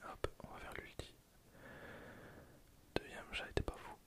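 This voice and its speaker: Soft unvoiced whisper, ASMR gameplay commentary, casual, hesitant, thinking aloud. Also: a little slow. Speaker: ASMR gaming video creator.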